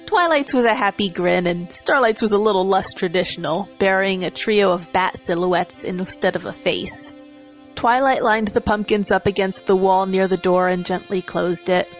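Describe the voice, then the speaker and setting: A woman narrates a story in a dramatic reading. Her delivery is measured and expressive, at a middle pitch, with music playing behind her.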